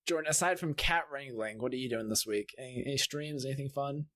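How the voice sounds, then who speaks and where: A man's voice: medium pitch, fast talker, a bit bright. A man hosting a casual group podcast in a chatty, informal style. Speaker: podcast host.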